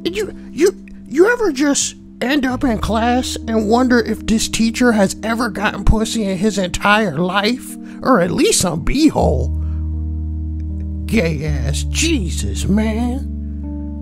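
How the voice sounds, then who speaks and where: A man puts on a brash, lewd schoolboy who reads forum posts for comedy. He talks slowly and drawls slangy, mocking asides. Music plays clearly behind the voice. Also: extremely high pitch, full character voice. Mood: incredulous, mocking. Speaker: host reading forum posts. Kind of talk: comedic reading with crude commentary.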